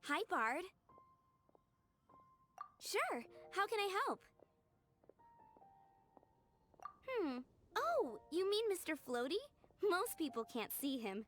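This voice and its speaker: A woman's voice: very high voice, big pitch swings, takes it slowly, pauses often, fairly bright tone, faint music behind, exaggerated voice. A dreamy, sweet young flower girl. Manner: sweet, childlike, giggly. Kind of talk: video game voice acting.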